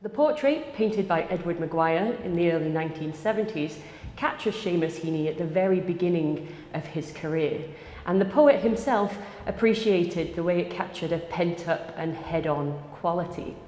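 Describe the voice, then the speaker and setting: A woman's voice: lowish voice, normal speed, dark tone. A woman giving a scripted presentation as coordinator of a gallery exhibition, introducing an artwork. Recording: echoey hall with long reverb.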